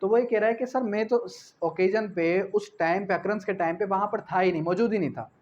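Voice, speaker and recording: A man's voice: high voice, a little fast, darkish tone, dull audio, a little hiss or hum. A man with a Pakistani accent teaching like an exam-prep law tutor, didactic, repeating key phrases and posing rhetorical questions, switching into English terms. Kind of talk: exam-prep lecture mixing Urdu with English legal terms.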